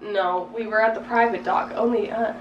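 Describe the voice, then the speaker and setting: A woman's voice: medium pitch, fairly even intonation, normal speed, muffled recording. A teenage girl in offhand, casual chat, a bit mumbled. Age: teens. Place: car and horse barn. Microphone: camera held by another person, some distance away. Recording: roomy, distant, faint noise.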